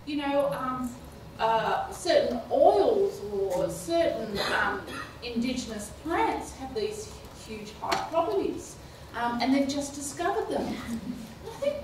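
A middle-aged woman speaking on a conference panel, halting with many fillers and restarts. She speaks into a handheld mic. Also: a little high, a little hiss or hum. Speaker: keynote speaker. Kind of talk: panel discussion. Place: lecture hall, indoors. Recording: echoey hall from a distance.

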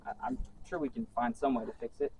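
Man, vlog host, relaxed easygoing chat, mellow tone, faint outdoor hiss. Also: medium pitch, fast talker, creaky, rough recording. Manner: easygoing, swears when frustrated. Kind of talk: casual chat.

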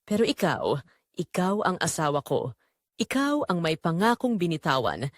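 A woman playing a hurt, restrained wife in an audio drama, quiet and reproachful. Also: fairly low pitch, big pitch swings, normal speed, no room sound, clean studio sound. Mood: wounded restraint. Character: hurt, restrained wife confronting her husband and caregiver.

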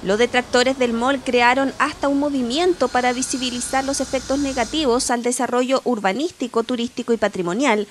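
A woman reporter voices a news package in a steady, measured read. Her voice sits fairly high for a woman, with a faint hiss of noise under it. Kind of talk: scripted news voice-over.

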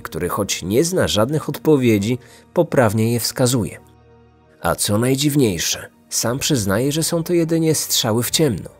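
A man's voice: fairly low pitch, a little mellow. A man in his thirties narrating a scripted true-crime story as a podcast host. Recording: music under the voice.

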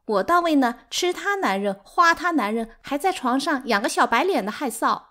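A woman's voice: high voice, expressive, moderate pace, sharp tone, pitched above the performer's own voice. A woman voicing a spiteful, defiant younger concubine in a storybook, wailing tearfully and shrilly. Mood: furious, wounded. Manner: taunting, melodramatic. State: crying.

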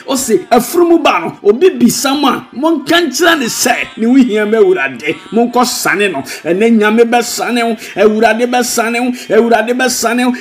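A man with a Ghanaian accent preaching a devotional as a pastor, exhorting and repeating his phrases. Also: extremely high pitch. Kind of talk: devotional sermon with prayer and blessings. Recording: music bed under the voice. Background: music.

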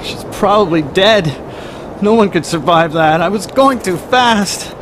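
A man's voice: high-pitched, animated, a little slow. An actor playing an impatient, uneasy young man stranded at night in a radio drama, curt and agitated. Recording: noisy night-time drama soundscape.

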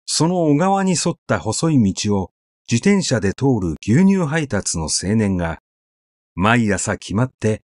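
A man reads literary fiction aloud at a slow, measured pace. His mid-pitched voice moves widely and expressively, yet stays conversational, as though he were simply telling the story. The sound is dry, with little room around it. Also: sing-song, a little mellow, no echo. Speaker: narrator, literary reader.

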